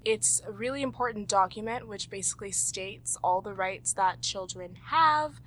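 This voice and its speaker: A woman with a Canadian accent and a bright, forward voice at an average pitch. She speaks as a host, presenting to listeners and guiding a group. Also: normal speed, poor audio. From Toronto, Canada. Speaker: host and quizmaster. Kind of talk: presenting a quiz and leading a group discussion.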